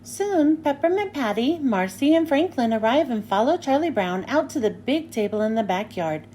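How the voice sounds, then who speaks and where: A woman's voice: high voice, expressive, moderate pace, warm tone, a little hiss or hum. A woman narrating a children's storybook as a read-aloud. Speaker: storybook reader.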